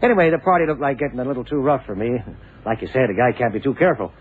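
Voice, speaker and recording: An actor playing an evasive young lawyer in a radio drama, talking in a hesitant, defensive, colloquial way. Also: male voice, medium pitch, animated, racing, slightly rough, phone-quality sound. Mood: defensive, casual. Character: evasive, ambitious young American male lawyer. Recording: thin, noisy 1960s radio drama recording.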